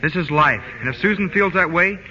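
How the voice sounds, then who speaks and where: An actor playing an earnest, self-pitying high school athlete in a 1940s radio comedy. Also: male voice, brisk, sharp tone. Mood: nobly wounded. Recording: noisy, muffled old radio recording.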